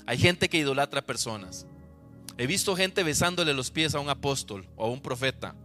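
A man's voice: medium pitch, lively intonation, moderate pace, sharp tone, soft background music. A man with a Costa Rican accent preaching a sermon to his congregation, emphatic and full of rhetorical questions. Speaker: pastor.

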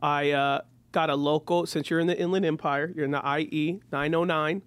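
A man with a fairly high voice hosts a casual podcast chat. His speech is relaxed and full of fillers. The recording sounds dry and close. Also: fairly quick, clear, resonant tone, no echo. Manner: relaxed, lots of "yeah" and filler. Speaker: podcast host.

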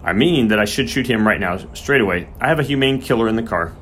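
A man's voice: medium pitch, animated, fast, fairly bright tone, close to an everyday voice. A male narrator speaks as an earnest country vet. He is courteous and firm, with some unease under it. The storybook recording carries a little background noise. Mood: grave, resolute.

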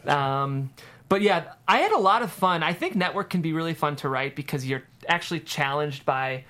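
A screenwriter speaks as a guest in a casual panel discussion. The voice sits at a middle pitch and is chatty and informal, with lots of hedging and "like" along the way. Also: expressive, moderate pace, sharp tone.

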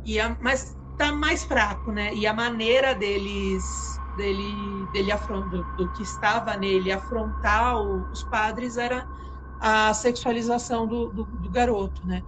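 A woman's voice: medium pitch, steady tone, a little slow, background noise. A woman hosting a casual live chat with channel supporters, telling stories in a conversational way with frequent restarts. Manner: conversational, hesitant restarts, storytelling. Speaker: channel host.

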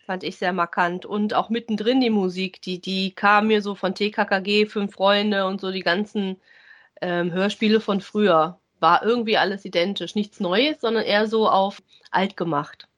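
A woman, co-hosting a relaxed, conversational review of audio dramas. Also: medium pitch, normal speed. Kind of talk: casual review chat.

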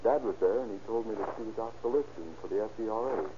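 Man playing an uneasy low-voiced witness, old radio. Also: fast talker, close to an everyday voice. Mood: puzzled, cooperative. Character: uneasy, cooperative civilian man, son of a suspect. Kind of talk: 1930s radio acting. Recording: muffled, noisy old radio recording.

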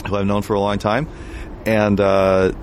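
Male podcast host, lowish voice, halting delivery, light street noise. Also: level tone. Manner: halting, many fillers and restarts. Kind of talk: interview questions.

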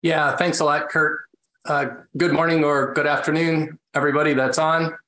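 A man's voice: on the high side, level tone, normal speed, sharp tone. Man explaining law on a webinar. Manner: friendly, explanatory. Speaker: estate planning attorney. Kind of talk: casual webinar talk. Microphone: video call. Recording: echoey room over a video call, faint noise.

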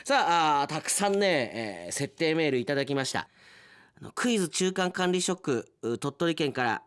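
Animated male radio host, lively pitch swings. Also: moderate pace, clear, resonant tone. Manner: animated, conversational, polite with playful asides. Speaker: radio announcer and show host. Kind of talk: chatty radio show hosting.

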